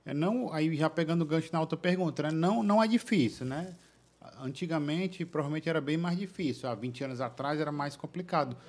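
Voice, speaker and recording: A man's voice: fairly high pitch, brisk. Young man answering in a podcast studio. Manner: hesitant, many fillers and restarts. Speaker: podcast guest. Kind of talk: casual interview answers. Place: small podcast studio with curtain backdrop. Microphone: studio mic on boom arm.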